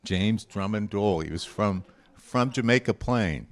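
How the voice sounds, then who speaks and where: A man with a fairly low voice hosts a guessing quiz for a group. He reads quotations out deliberately and adds informal, conversational commentary as he goes. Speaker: presenter of a quote guessing quiz.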